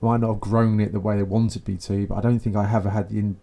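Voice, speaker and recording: A man in his thirties with a low, warm, mellow voice speaks in a relaxed, colloquial way. His humour is dry, and he muses through his thoughts in a casual podcast chat. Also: quick pace, creaky. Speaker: company co-founder.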